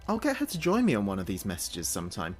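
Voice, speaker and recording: A man playing an earnest spaceship communications officer, speaking his log in a measured, precise way for a sci-fi audio drama. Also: on the low side, wildly swooping pitch, faint music behind. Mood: tender, wistful.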